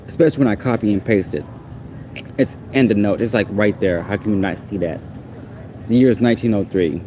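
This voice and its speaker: Deep-voiced man ranting over traffic noise. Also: a little fast, mellow. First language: Portuguese. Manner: exasperated, rambling, repeats himself. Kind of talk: casual ranting monologue.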